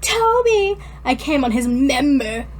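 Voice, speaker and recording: High-pitched giggly woman over light background noise. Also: sing-song. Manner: informal, halting when reading aloud, breaking off to comment. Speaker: podcast co-host. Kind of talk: dramatic fan fiction reading with casual mocking commentary.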